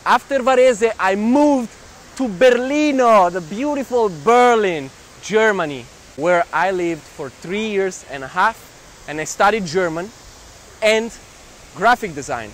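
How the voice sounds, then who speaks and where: A man in his twenties with an Italian accent, talking to camera as a travel filmmaker in an animated, exclamatory way, often repeating phrases. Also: high-pitched, measured pace, low-quality recording. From Italian family, raised near Milan. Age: 20s. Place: outdoors in city squares and streets. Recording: clear urban street noise.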